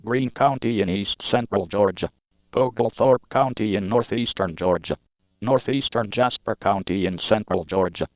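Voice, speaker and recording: Very deep synthetic male alert announcer. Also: moderate pace, dry recording. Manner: formal, mechanical, clipped list reading. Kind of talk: scripted emergency weather warning bulletin. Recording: muffled phone-like radio broadcast with noise.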